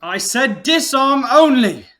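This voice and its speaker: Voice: sits high, big pitch swings, slow, sharp tone, full character voice. An actor in an audio drama playing a vain, showy male wizard professor with a pompous, theatrical, self-assured way of talking. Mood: commanding.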